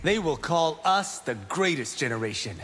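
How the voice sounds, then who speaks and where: A man's voice: a little high, big pitch swings, moderate pace, in a large hall, noisy background. A man playing a cocky, wisecracking young monk fighter in a video game, confident and bantering. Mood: teasing. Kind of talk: video game character acting.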